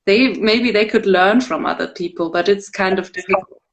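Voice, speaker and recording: A woman's voice: medium pitch, normal speed, dull audio, noisy background. Woman interviewer, thoughtful and hedging. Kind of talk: casual interview talk.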